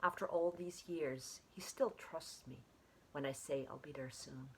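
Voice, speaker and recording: A woman reading her own literary short story aloud in an expressive way. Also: extremely low pitch, unhurried, husky, poor audio.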